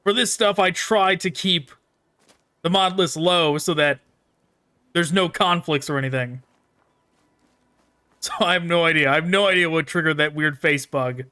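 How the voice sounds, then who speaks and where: A man's voice: a little high, normal speed, pauses often, pushed and bright, smooth, dry recording. A man hosting a gaming video, casually commenting on his playthrough and reading dialogue choices aloud.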